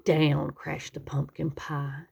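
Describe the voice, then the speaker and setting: A woman reading a children's picture book aloud expressively, with dramatic, drawn-out emphasis on key words. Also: sits low, flat delivery, vocal fry, a little mellow, husky. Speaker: children's story reader.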